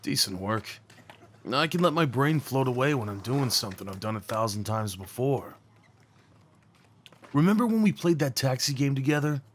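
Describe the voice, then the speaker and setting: A man playing a remorseful young former delinquent tenderly confessing old love in an intimate roleplay audio drama, speaking haltingly and earnestly. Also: mid-range voice, big pitch swings, slight background noise, natural voice. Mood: wistful, nostalgic. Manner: halting, confiding, emotionally earnest.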